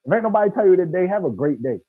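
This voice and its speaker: A man's voice: high-pitched, sing-song, racing, slightly rough, no room sound. A man in his thirties with an American accent, talking casually and emphatically in slangy speech over a video call with thin sound. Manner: emphatic, slangy, often says "bro". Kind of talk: casual, animated discussion. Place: indoor room, video call. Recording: thin phone-like online call audio.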